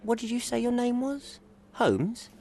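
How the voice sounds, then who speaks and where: An actor playing a talkative, wry police officer stuck on desk duty in a video game. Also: male voice, very high-pitched, expressive, a little slow, creaky, mellow, exaggerated voice.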